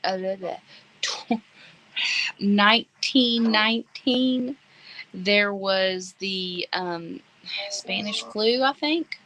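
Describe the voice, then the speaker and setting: A woman co-hosting a paranormal chat, talking casually and haltingly, searching for her words with ums and restarts. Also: mid-range voice, pushed and bright, slight background noise, low-quality recording.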